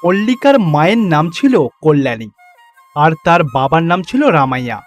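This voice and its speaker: A man's voice: on the high side, very animated, normal speed, soft background music. A man reading scripted narration for a children's story as its narrator.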